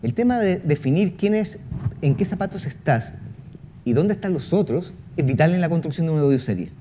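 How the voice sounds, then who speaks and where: A man explaining his craft as a panel guest, halting and repeating words as he goes. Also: a little high, expressive, mellow. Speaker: panel guest, audio fiction creator. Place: live conference panel. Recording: muffled event sound with faint noise.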